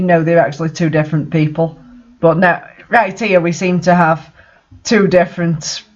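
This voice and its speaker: Exasperated reader with a dull lo-fi recording. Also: medium pitch. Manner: exasperated, incredulous, swears freely. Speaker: host reading fan fiction aloud with commentary. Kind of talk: mocking read-aloud with casual commentary. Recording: dull lo-fi microphone sound.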